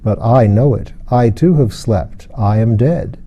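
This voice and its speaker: A man's voice: mid-range voice, a little slow, lo-fi sound, natural voice. A man voicing a weary, fearful, dying princess in a storybook, murmuring, moaning and pleading proudly.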